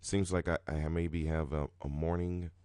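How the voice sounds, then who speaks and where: Deep-voiced male host, groggy morning voice, rambling with restarts, dry close sound. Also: little pitch movement, moderate pace, smooth, no room sound. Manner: rambling, repetitive, many restarts, sudden jumps in pitch and loudness. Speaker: roulette strategy video host. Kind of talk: casual talking-head explanation.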